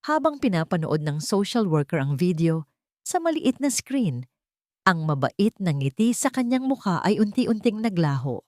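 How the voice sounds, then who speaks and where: A woman's voice: on the low side, very animated, moderate pace, darkish tone, no room sound, clean studio sound. A woman reading a dramatic scripted story as its narrator.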